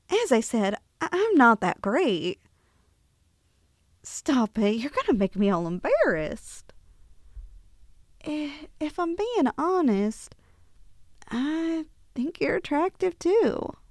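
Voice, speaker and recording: A woman acting a sweet, bashful young woman in an ASMR roleplay, speaking softly and hesitantly with affection. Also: sits high, no room sound, studio quality, heavily acted. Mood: bashful, flustered. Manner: soft, hesitant, affectionate, sighing. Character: sweet, bashful young woman shrunk tiny, tenderly confessing feelings.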